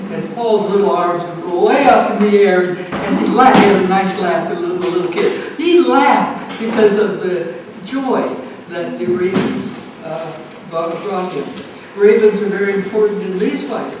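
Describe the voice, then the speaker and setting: A woman in her nineties from the United States reminisces to an audience in a halting way, often repeating phrases. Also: medium pitch. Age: 90s. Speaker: speaker at a family art show. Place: community center event room. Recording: echoey, noisy room, distant microphone.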